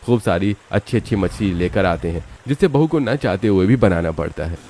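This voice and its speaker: Male narrator for animated family tales, low register, scripted storytelling delivery. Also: a little fast, a little hiss or hum.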